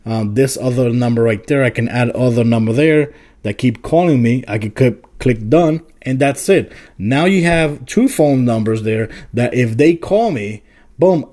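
A man presents a phone app tutorial in a casual, informal way. His voice is mid-pitched and on the mellow side. He explains each step plainly, as if showing a viewer around. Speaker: tech video host.

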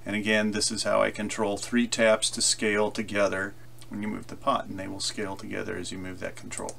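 A man explaining software in a casual, halting tutorial style, thinking aloud as he demonstrates. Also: on the low side, monotone, creaky, a little hiss or hum. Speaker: tutorial presenter. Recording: loud guitar effects music over voice. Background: loud electric guitar with delay effects.

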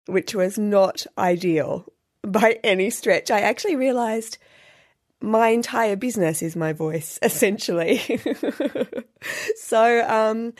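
Australian woman podcast host, hoarse and croaky, a bit nasal, chatty with self-mocking humour. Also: mid-range voice, a little mellow. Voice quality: slightly nasal. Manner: chatty, self-deprecating, laughs often. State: husky, croaky from illness. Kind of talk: solo podcast monologue.

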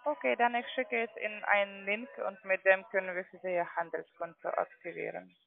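A man's voice: high-pitched, little pitch movement, brisk, creaky. A non-native speaking man working as a call centre agent, making a sales call in ungrammatical phrasing. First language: not German. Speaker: call centre agent selling trading accounts. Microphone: phone call. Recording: narrow muffled telephone line.

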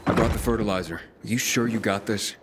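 An actor playing a wary, dry-witted male survivor in a video game, with a gravelly voice. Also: lowish voice, brisk, very noisy, natural voice. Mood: doubtful.

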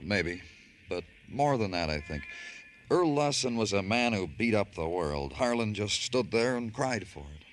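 A world-weary detective with a very low voice, in an old radio crime drama. He questions in short, dry phrases, over a hissy vintage recording. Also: animated, measured pace. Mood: musing, weary. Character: terse, world-weary male police detective.

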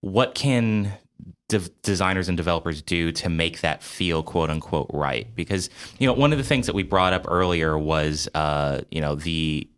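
A man's voice: sits low, moderate pace, a bit bright, clean tone. A man hosting a casual podcast discussion about games, talking in a hesitant, wordy, circling way. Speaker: podcast host.

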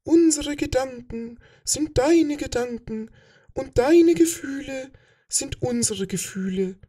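A man voicing a serene, eerie alien collective mind in a breathy whisper for an audiobook. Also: very high-pitched, slow pace, mellow, voice pushed up, full character voice.

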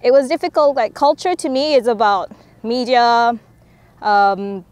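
A young woman from Malaysia speaks with a slightly high voice. She answers interview questions in an articulate, somewhat formal manner. The recording was made outdoors in a park. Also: unhurried, darkish tone, no room sound. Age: young adult. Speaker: student interviewee.

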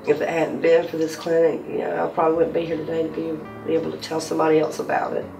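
A woman gives a personal testimonial in a gravelly, creaky voice. She sounds a little away from the mic, and guitar music plays behind her. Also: deep voice, completely flat delivery, fast talker. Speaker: clinic patient. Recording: distant mic, music underneath.

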